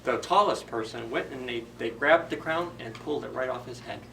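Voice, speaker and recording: A man's voice: mid-range voice, a little fast, a bit bright, recorded from a distance, slight background noise. A man retelling a story for children as a library storyteller, informally, in simple phrases with questions to the listeners.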